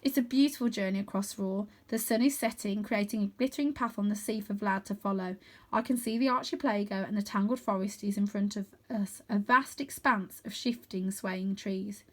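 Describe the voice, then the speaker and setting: A woman reading a children's adventure book aloud as storyteller, with expressive, dramatic emphasis. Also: medium pitch, fairly quick.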